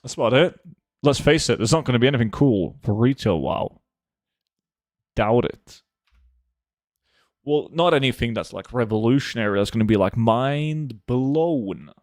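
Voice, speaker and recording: A young man streaming a game, chatting casually and answering viewer questions in a relaxed, offhand way. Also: medium pitch, moderate pace, pauses often, no room sound, clean studio sound. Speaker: gaming streamer reading chat. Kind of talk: casual live gameplay chat.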